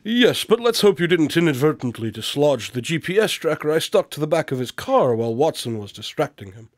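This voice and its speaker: A self-assured male detective played by an actor in a radio drama, with a fairly high voice and swooping intonation. He is precise and drily superior, recorded close with no room sound. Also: sing-song, brisk, no room sound.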